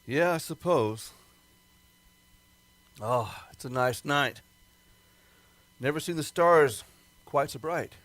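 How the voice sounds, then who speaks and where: A man's voice: a little high, slow pace, pauses often, a bit bright, no room sound. A man acting an earnest government project man in an amateur radio play. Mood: weary, grim. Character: Hank, earnest government project man, urgent then grimly resigned.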